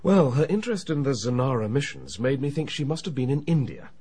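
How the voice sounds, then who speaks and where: An actor playing a genial, urbane, well-to-do uncle in a radio drama, dryly weary. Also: male voice, mid-range voice, very animated, brisk, a little mellow, breathy edge. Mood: dryly amused. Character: genial, dry, well-to-do English uncle, middle-aged or older.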